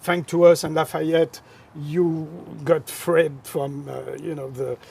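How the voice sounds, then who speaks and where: A man's voice: fairly high pitch, fairly even intonation, slow pace, vocal fry, a little mellow, husky. A middle-aged man from France with a French accent, a guest in a casual interview chat, talking in an expansive, philosophical, emphatic way.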